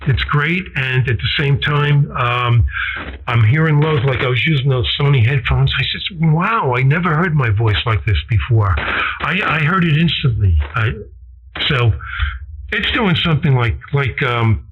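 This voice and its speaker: Male radio hobbyist, mid-pitched, halting casual explainer, heard through a band-limited ham transmission. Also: lively intonation, phone-quality sound, a little hiss or hum. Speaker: amateur radio operator. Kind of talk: casual technical chat on air.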